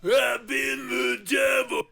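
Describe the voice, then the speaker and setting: A man singing a rock vocal in a strained, half-shouted belt. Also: extremely high pitch, sing-song, slow pace, gravelly. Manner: strained, belting.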